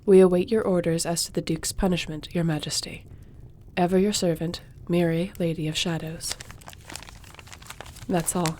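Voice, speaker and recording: Woman acting loyal fantasy court sorceress, lowish mellow voice, measured n formal, gently supportive. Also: steady tone, slight background noise, lightly acted. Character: loyal, composed court sorceress advising her king. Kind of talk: audio roleplay fantasy acting.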